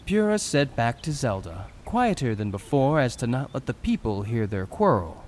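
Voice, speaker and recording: A man's voice: medium pitch, big pitch swings, moderate pace, a little mellow. A man reading scripted narration for a fantasy audio drama.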